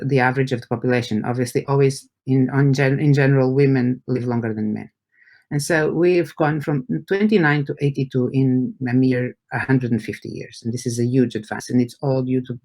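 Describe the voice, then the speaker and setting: A man from Portugal, a native Portuguese speaker, lecturing in English as a university professor, explaining haltingly with repeated words and rhetorical questions. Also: mid-range voice, darkish tone. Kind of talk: academic lecture to an audience.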